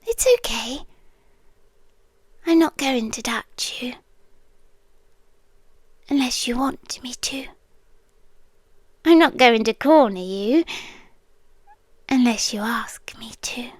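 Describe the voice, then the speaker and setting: A British woman voicing a young, obsessive yandere girl in an intimate ASMR roleplay, sweetly unsettling and lovestruck, speaking softly and hesitantly and drifting into whispers. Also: a little high, slow pace, pauses often, dry recording. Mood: hushed, eerie fascination. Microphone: close microphone, dry.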